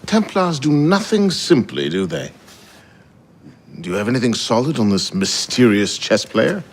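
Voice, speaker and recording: Husky, weary older mentor. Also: male voice, mid-range voice, big pitch swings, a little slow, heavily acted. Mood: probing. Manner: dry, oratorical, world-weary. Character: weary, sardonic older male mentor statesman. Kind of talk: video game cutscene acting.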